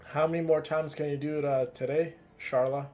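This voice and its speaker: A man's voice: on the high side, level tone, fairly quick. Casual male game streamer, music behind. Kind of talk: casual live-stream chat during gameplay.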